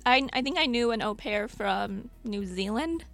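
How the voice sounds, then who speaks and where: A woman's voice: a little high, expressive, moderate pace, creaky, fairly bright tone, no room sound, soft background music. Chatty woman hosting a podcast. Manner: friendly, chatty, filler-heavy questions. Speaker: podcast host. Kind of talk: casual interview.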